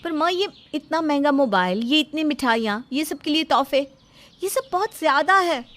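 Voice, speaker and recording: A worried young bride-to-be in a storybook family drama, acted by a woman. Her voice sits high. She speaks earnestly, with principled, dutiful protest. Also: expressive, moderate pace, fairly bright tone. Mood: upset, protesting. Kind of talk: dramatised Hindi story acting.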